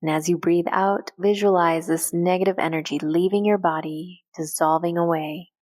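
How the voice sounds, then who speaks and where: Low-pitched woman guiding a calm meditation. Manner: calm, gentle, unhurried with short measured phrases. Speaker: guided meditation instructor. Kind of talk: guided meditation, soft instructional narration.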